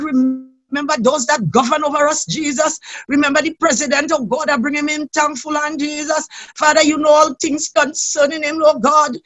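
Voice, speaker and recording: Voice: high-pitched, a bit bright. A worship leader praying aloud in a fervent, impassioned, pleading way, calling on God again and again.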